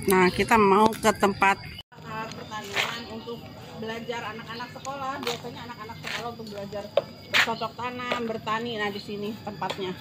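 A middle-aged woman films a casual vlog on a farm, talking on a fairly high voice into a handheld phone. She chats and coos at the animals in baby talk. Heavy outdoor noise runs underneath. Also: fairly even intonation, a little fast. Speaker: vlogger.

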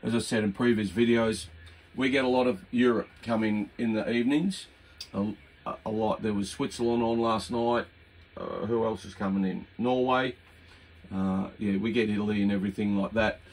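An older man with an Australian accent giving easygoing, halting, amused commentary on his radio hobby. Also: lowish voice, steady tone, a little mellow. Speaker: radio hobbyist presenting his own video. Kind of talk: casual unscripted commentary. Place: indoors at a home radio desk. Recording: noisy room with radio static. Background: CB radio hiss and static.

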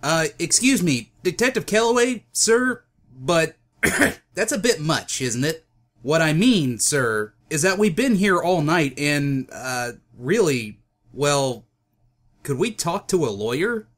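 A man's voice: a little high, sing-song, takes it slowly, a bit bright, full character voice. A man playing a timid, polite young fellow who talks in a hesitant, wordy, formal way for a comic dub. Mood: nervous.

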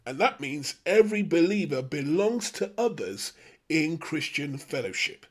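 Husky, higher-pitched male Bible teacher. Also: sing-song, a little slow. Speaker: host of a Bible study show. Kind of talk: scripted teaching narration.

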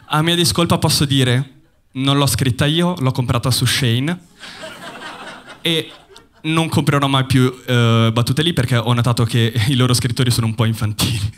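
Young man doing deadpan stand-up. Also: medium pitch. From Bologna, Italy. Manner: deadpan, ironic delivery with pauses for laughs. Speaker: stand-up comedian. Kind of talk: stand-up comedy set. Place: theater stage before a live audience. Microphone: handheld mic.

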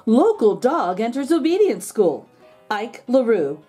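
A woman's voice: a little high, sing-song, measured pace, mellow, husky, soft background music. A woman hosting a children's read-aloud, narrating expressively and adding comments on the book. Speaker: storybook read-aloud host.

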